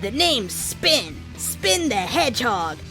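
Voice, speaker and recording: A man's voice: very high voice, big pitch swings, slow, vocal fry, bright, forceful tone, faint music behind, pitched above the performer's own voice, heavily acted. A man voicing a cheeky, friendly young male hedgehog hero from a fan fiction story.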